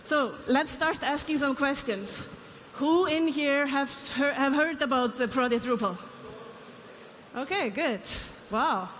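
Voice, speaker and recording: A woman's voice: high voice, unhurried, fairly bright tone, a little hiss or hum. A woman from Iceland with an Icelandic accent, giving a conference keynote and asking the audience questions. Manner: asks the audience questions, light asides. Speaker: keynote speaker, CEO. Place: large conference stage. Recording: reverberant hall, faint ambience.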